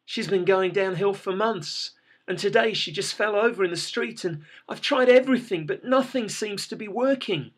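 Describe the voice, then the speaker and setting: A man voicing a distraught small business owner, crying and pleading in a high, strained voice. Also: expressive, unhurried, fairly bright tone, full character voice. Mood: desperate. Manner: pleading, tearful, voice breaking high.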